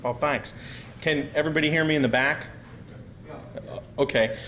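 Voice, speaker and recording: A man from Pennsylvania giving a talk to a live audience, loud and rambling, full of fillers and restarts. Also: fairly high pitch, moderate pace, heavy background noise. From Pennsylvania, USA. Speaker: guest speaker.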